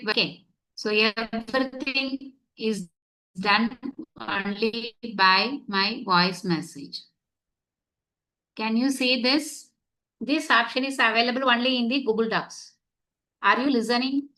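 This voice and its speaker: A woman's voice: mid-range voice, bright, forceful tone, low-quality recording. Online class teacher, woman, native Tamil speaker; step-by-step explainer who keeps checking students are following. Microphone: computer mic on video call.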